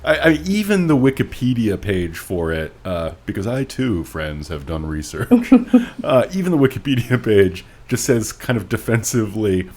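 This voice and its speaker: A man's voice: on the low side, big pitch swings, normal speed, a little mellow. A man co-hosting a podcast, delivering casual comedic critique in a sarcastic, emphatic way. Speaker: podcast co-host.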